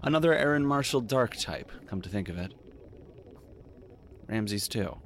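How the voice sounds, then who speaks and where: An actor playing a world-weary, wry detective in a noir audio drama, brooding and sardonic. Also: male voice, lowish voice, animated, measured pace, a little hiss or hum. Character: world-weary, wry male detective narrating his story. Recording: faint music behind the voice.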